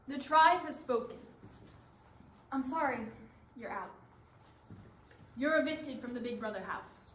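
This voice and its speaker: A woman's voice: sits high, expressive, unhurried, long pauses, fairly bright tone. A woman performing in a readers theatre piece with rehearsed, theatrical delivery. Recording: roomy stage recording with background hiss.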